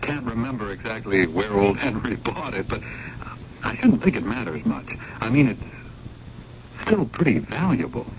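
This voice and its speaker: An actor playing a nervous, eager, scheming younger brother who talks in hesitant, roundabout rambles in a radio drama. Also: male voice, fairly low pitch, very animated, normal speed. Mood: nervous, hedging. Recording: lo-fi old radio recording with clear noise.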